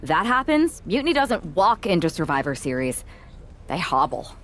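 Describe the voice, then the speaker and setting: A sardonic, guarded woman wrestler in a video game cutscene. Her pitch is a little under average for a woman but moves in a very lively way. She sounds casual and wry, over faint background ambience. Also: moderate pace, a little hiss or hum.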